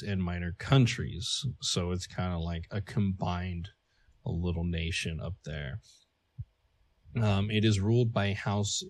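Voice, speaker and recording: A man whose first language is not English, co-hosting a casual podcast and talking through notes with many fillers. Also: lowish voice. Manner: chatty, filler-heavy, laughs at own jokes. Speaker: podcast co-host. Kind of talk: casual podcast lore talk.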